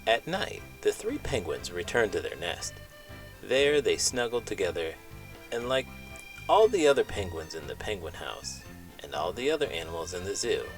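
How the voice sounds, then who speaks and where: A man hosting a children's read-aloud, speaking in an expressive, playful storytelling style. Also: low voice, low-quality recording. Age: adult parent.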